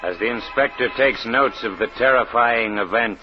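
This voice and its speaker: A man's voice: medium pitch, normal speed, over music. A man announcing a radio mystery program in a formal 1940s broadcast style. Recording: dull vintage radio broadcast.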